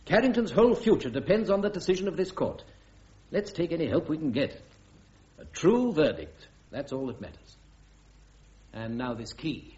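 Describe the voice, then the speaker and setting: Male actor, crusty senior officer, British, clipped, pompous, very varied pitch, dull radio drama recording. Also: lively intonation, normal speed, a little mellow, close to an everyday voice. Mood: brusque, firm. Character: crusty, authoritative senior army officer presiding over court martial.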